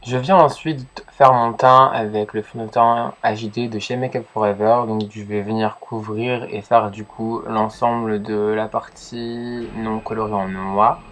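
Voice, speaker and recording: Young man with a cold, low voice. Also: fairly bright tone. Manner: hesitant, many fillers. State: congested with a cold. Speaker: makeup vlogger. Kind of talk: casual makeup tutorial.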